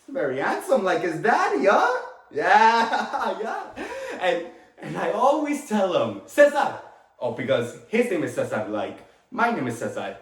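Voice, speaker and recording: A man performing a dramatic monologue as a talkative, tender immigrant father with a Latin American Spanish accent, rambling haltingly with small chuckles. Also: sits high, wildly swooping pitch, exaggerated voice. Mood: fond, proud. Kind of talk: dramatic monologue, stage acting. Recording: echoey room.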